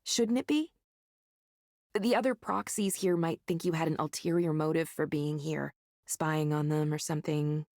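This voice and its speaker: Casting: chummy, earnest female game character, lower-middle pitch, eager, dry studio sound. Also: steady tone, moderate pace, a little mellow, no echo. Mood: confiding. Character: friendly, eager, admiring woman, counseling center manager. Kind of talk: visual novel voice acting.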